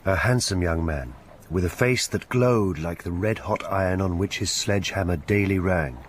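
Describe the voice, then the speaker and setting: An actor as the wry, genial narrator of a literary radio drama. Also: male voice, deep voice, moderate pace, slight background noise, natural voice.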